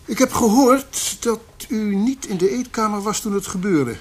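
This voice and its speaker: A man's voice: sits high, moderate pace, natural voice. A male actor playing a polite police investigator in a radio drama, dry, understated and formal. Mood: dryly probing. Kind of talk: Dutch radio drama acting. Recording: faint background noise.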